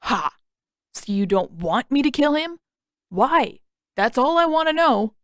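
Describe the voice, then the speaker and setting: A woman's voice: high voice, big pitch swings, unhurried, no echo, studio quality, voice pushed up. A woman voicing a protective, suspicious teenage boy who prods insistently and with exasperation in a story reading. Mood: argumentative.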